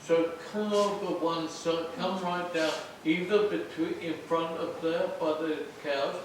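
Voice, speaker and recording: A man directs actors at a rehearsal in a slightly high voice. He is plain-spoken and instructive, often repeating himself. The sound is distant and noisy, with heavy room echo. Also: fairly even intonation, a little slow. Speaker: stage director. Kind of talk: giving blocking notes at a play rehearsal. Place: echoey indoor rehearsal space, recorded from afar.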